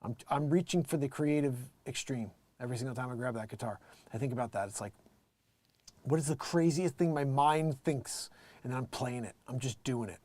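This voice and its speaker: A middle-aged American man hosting a casual livestream, rambling emphatically and excitedly in an unscripted monologue, often acting out bits of quoted conversation. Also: medium pitch, fairly quick, dry recording. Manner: animated, rambling, emphatic, quotes himself and others. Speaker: live music show host and musician.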